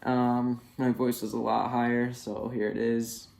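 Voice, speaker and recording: A man's voice: medium pitch, level tone, unhurried, vocal fry, darkish tone. A twenty-one-year-old man chatting candidly in a casual personal vlog, with many fillers. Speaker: vlogger.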